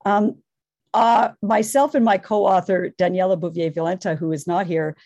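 A woman's voice: lowish voice, steady tone, moderate pace, dark tone, no room sound, highs cut off. A woman scholar giving a conference presentation, earnest and enthusiastic, thinking aloud as she goes. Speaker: academic panelist.

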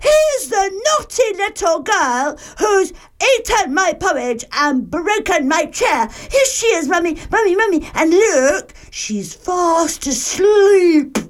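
Woman voicing a whiny, squeaky little baby bear. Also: very animated, takes it slowly, full character voice. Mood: excited accusing. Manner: wailing, high-pitched childish complaining. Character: small whiny baby bear, upset little boy cub.

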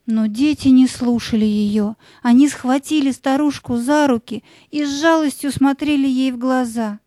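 A woman narrating a scripted fairy tale as an expressive storyteller. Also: high-pitched, moderate pace, no echo.

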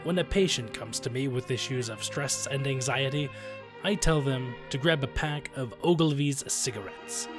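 Doctor pitchman, acted by a man: mid-pitched, reassuring sales patter, old radio ad with music behind. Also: normal speed, lightly acted. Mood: reassuring, salesy. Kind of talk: 1930s radio sponsor ad spoof.

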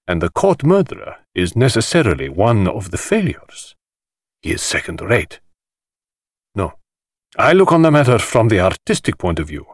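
A flamboyant, sinister gentleman host from a mystery audiobook. His delivery swoops extravagantly high and low, drawling and hissing with mockery. The sound is close and dry. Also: dry recording. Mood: grandly boastful. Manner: theatrical, hissing, drawling affectation. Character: flamboyant, mocking, sinister middle-aged male party host. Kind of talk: dramatised audiobook dialogue.

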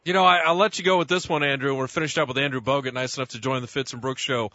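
A man's voice: mid-range voice, steady tone, racing, bright, forceful tone, dry recording, lo-fi sound. A man hosting a sports radio show, asking chatty, rambling questions full of restarts. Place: radio studio.

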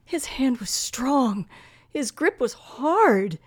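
A woman's voice: high voice, very animated, slow pace, slightly rough, heavily acted. An actress playing a frightened, tense woman traveler with a dramatic, hesitant delivery in an old radio thriller. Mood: tense narration. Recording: faintly noisy old radio recording.

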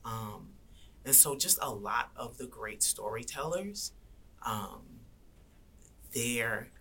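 A woman talking as a guest in an interview, earnest and reflective, sometimes dropping to soft asides. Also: rumbling low, animated, takes it slowly, slight background noise. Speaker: guest rapper and activist. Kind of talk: conversational interview.

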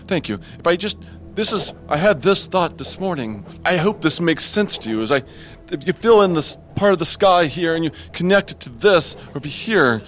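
An actor playing a frantic, obsessed astronomer in an audio horror drama, agitated and halting, repeating himself. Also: male voice, on the high side, animated, close to an everyday voice. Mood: manic, rambling. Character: raving, frantic male astronomer, obsessed and unhinged.

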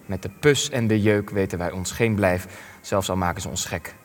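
An actor playing a weary, defensive elderly war veteran in a Dutch radio play, speaking deliberately with hesitations and rhetorical turns. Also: male voice, low-pitched, fairly even intonation, close to an everyday voice. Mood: bitter, reflective. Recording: long reverberant hall.

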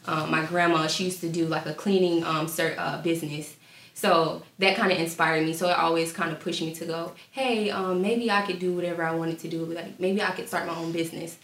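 A woman's voice: lowish voice, fairly even intonation, a little fast. A young woman, an entrepreneur, talking casually with many fillers during a panel discussion. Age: young adult. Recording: echoey room, distant mic.

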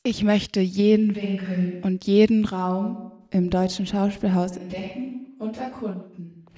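A woman's voice: medium pitch, fairly even intonation, unhurried, soft background music. A woman podcaster speaking casually and curiously, as an interviewer. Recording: loud background noise.

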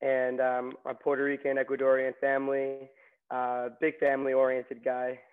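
Young male guest from New York, casual storyteller; narrow pitch, lo-fi phone-quality audio. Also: completely flat delivery, moderate pace, clear, resonant tone. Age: young adult. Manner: chatty, many fillers and restarts. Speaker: nursing graduate guest. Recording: tinny phone-like line.

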